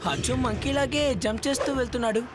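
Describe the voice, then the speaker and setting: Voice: mid-range voice, fast, noisy background, natural voice. An actor voicing a brave, earnest young boy hero who leads his friends in a children's cartoon. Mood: curious, urgent. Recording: music behind the voice.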